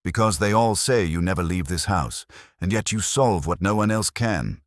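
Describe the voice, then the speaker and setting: Man voicing an anxious, deep-toned bakery woman. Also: animated, normal speed, no room sound, clean studio sound. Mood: tired, pleading. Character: anxious, determined small woman bakery owner.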